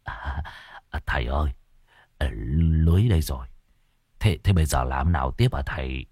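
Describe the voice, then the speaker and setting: A man voicing a nervous, deferential old village man in a horror story, hushed, hesitant and stammering. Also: very deep voice, moderate pace, slightly rough, no room sound, clean studio sound, pitched below the performer's own voice. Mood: anxious whisper.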